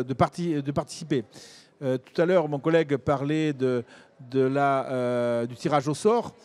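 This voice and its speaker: A man speaking as a local elected official on a panel, haltingly, repeating words as he searches for the next. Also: on the high side, a little mellow. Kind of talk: panel discussion, unscripted.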